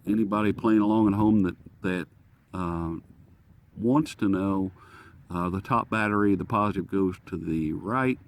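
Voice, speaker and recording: Low-voiced man, folksy rambling gadget reviewer. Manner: folksy, self-deprecating, easily sidetracked. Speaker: product reviewer presenting to camera. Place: outdoors on a breezy day. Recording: breezy outdoor recording with wind noise. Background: light wind noise.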